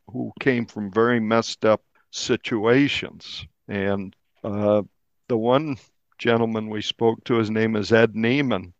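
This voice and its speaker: A man's voice: lowish voice, no room sound. Earnest man, dry and slightly dull sound. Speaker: investigative journalist, interviewee. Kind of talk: conversational true crime podcast interview.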